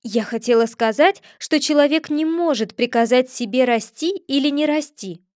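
A woman's voice: high-pitched, normal speed, no room sound, clean studio sound, natural voice. A woman voicing a polite, earnest seven-year-old girl who speaks carefully and apologizes.

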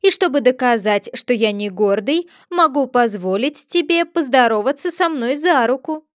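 A woman's voice: sits high, expressive, no echo, studio quality. A woman voicing a pompous, touchy male egg figure who lectures and takes offense easily. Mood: boastful. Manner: haughty, lecturing, quick to take offense.

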